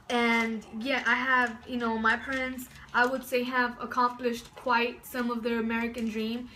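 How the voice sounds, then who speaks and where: A woman's voice: on the high side, pushed and bright, a little hiss or hum, poor audio. A woman in her early twenties, raised in Southern California and born in Mexico, talking enthusiastically to camera in a rambling way, with many fillers and restarts, from indoors at home. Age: early 20s. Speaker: vlogger. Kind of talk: casual talk to camera.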